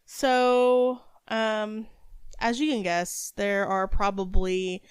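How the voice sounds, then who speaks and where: A woman's voice: medium pitch, little pitch movement, very slow, clear, resonant tone, dry recording. A woman co-hosting a podcast, chatting casually and reading out stories. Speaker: podcast co-host telling stories.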